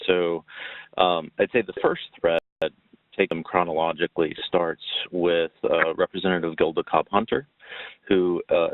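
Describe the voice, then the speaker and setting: A man giving an informal explanatory presentation in a halting way, pausing mid-sentence, over a muffled phone-like line. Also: low voice, steady tone, dry recording. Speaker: webinar presenter.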